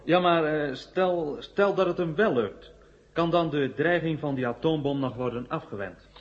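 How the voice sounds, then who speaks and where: A man acting a hesitant but persistent questioner in a science-fiction radio drama, halting and polite. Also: on the high side, natural voice. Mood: hopeful, questioning. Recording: muffled old radio recording.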